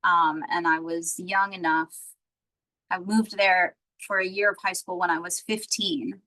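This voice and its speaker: A woman from the United States hosting an online office-hours call, chatting in a friendly way and quick with encouragement. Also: on the low side, steady tone, pushed and bright, no echo, poor audio. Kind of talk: casual chat on a video call. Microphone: video call.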